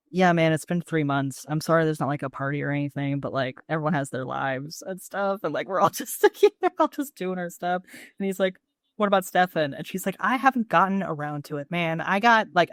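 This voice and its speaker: Androgynous voice, mid pitch, dry close recording, casual rambling recap, acted-out asides, podcast co-host. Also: dry recording. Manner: rambling, filler-heavy retelling with acted-out asides and swearing. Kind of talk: casual chat recapping a TV show.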